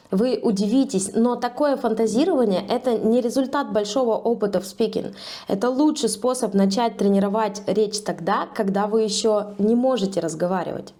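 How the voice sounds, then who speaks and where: Mellow-voiced woman teacher narrating. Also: on the high side. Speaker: English teacher. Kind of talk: scripted video lesson narration.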